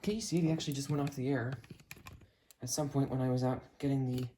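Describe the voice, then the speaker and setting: A medium-pitched host presents a gadget in a casual, chatty way, talking while handling it. The home recording is indoors, with some noise and clicks behind the voice. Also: steady tone, fast talker, dark tone. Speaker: host of a gadget review video. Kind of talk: casual hands-on product review.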